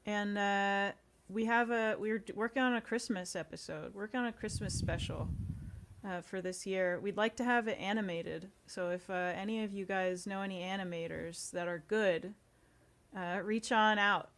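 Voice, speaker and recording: A woman's voice: medium pitch, fairly even intonation, moderate pace, fairly bright tone. A woman speaking casually as an interview guest on a talk show. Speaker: interview guest, audio series creator and actor. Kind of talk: casual chat.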